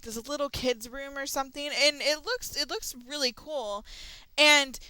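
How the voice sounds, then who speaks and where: Young woman vlogger, rambling casual chat, bright crisp voice, dry close mic. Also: sits high, no room sound, poor audio. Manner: chatty, rambling, frequent ums. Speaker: channel host. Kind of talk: casual audio log chat.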